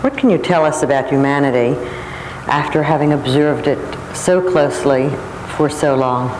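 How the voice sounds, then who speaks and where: In a reverberant hall, a middle-aged woman asks formal interview questions in a measured way. The old recording is roomy, with clear background noise. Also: low voice. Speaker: television interviewer. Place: curtained stage before a small audience, indoors. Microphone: lapel or neck-hung microphone. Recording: echoey hall, noisy old videotape.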